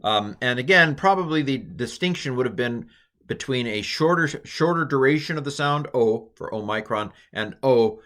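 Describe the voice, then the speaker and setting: A man's voice: mid-range voice, lively intonation, moderate pace, a bit bright. An American man teaching a recorded lesson in a casual, jokey, self-deprecating way, with lots of fillers. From United States. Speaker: Greek teacher.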